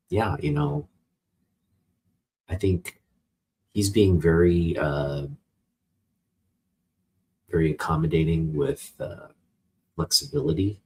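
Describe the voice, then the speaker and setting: An American man chatting casually and unscripted as a vlog host, with a husky voice and a halting, rambling delivery as if thinking aloud. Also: very deep voice, a little mellow, slight background noise. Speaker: host of a comic book channel.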